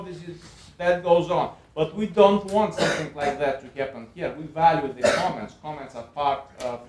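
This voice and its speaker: A man with a Bulgarian accent speaks as a panelist at an academic event. His voice sits a little high for a man, and the room echoes around him. He talks off the cuff, haltingly, often repeating words as he goes. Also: darkish tone. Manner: halting, with frequent repeats and filled pauses. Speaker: panel speaker at a university lecture. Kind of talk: extemporaneous academic lecture. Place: indoor lecture event room.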